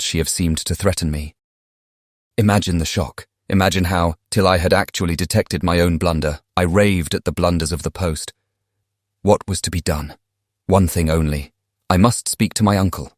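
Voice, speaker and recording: A man with a British accent playing a contrite, impassioned young English gentleman reading out his letter in formal period language for a dramatized audiobook. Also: deep voice, dry recording, studio quality. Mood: agitated. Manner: formal, eloquent period diction. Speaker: audiobook cast reader.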